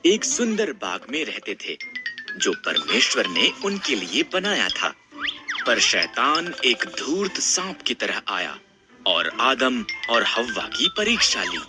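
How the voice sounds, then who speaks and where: A man's voice: mid-range voice, sing-song, slow pace, loud music behind the voice. A man narrating a scripted Bible story in a dramatic storytelling style.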